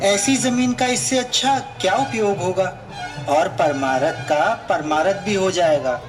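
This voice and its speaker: A man's voice: sits high, unhurried, music in the background. A man playing a dutiful, agreeable village family man in a puppet show, speaking respectfully.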